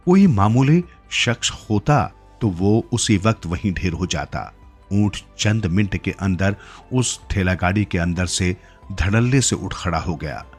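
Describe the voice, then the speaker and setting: Low-pitched male storyteller over music. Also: breathy edge. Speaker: story narrator. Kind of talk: scripted literary story narration in Hindi.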